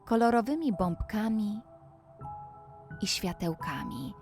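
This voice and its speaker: A woman narrating a scripted children's bedtime story, speaking deliberately and addressing the young listener directly. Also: medium pitch, big pitch swings. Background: music.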